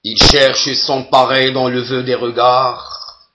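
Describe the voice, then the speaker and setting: A man giving a solemn poetry recitation in a measured, deliberate way, pausing between phrases. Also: mid-range voice, level tone, heavy background noise.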